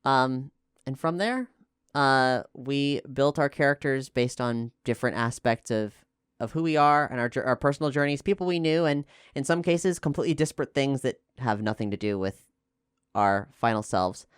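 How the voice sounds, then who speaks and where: A man from Florida with an American accent, talking casually and explaining things in a halting way, often correcting himself as he thinks aloud. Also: medium pitch, smooth, no room sound, clean studio sound. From Florida, United States. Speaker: actual play podcast player and co-host.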